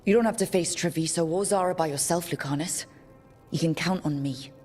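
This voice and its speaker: Woman playing resolute, lowish-voiced heroine. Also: a little fast, a little mellow, soft background music, natural voice. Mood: supportive. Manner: direct, plain-spoken. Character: Rook, resolute, loyal young female fantasy adventurer. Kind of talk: fantasy video game voice acting.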